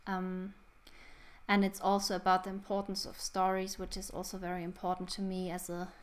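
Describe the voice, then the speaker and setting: A woman's voice: mid-range voice, completely flat delivery, normal speed. A young woman, thoughtfully hosting a casual book review. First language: German. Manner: thoughtful, reflective. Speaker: book channel host.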